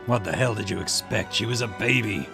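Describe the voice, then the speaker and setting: A man voicing a gravelly, scornful man. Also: fairly low pitch, brisk, over music. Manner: curt and contemptuous. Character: blunt, scornful man confronting a killer. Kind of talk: reading game dialogue aloud.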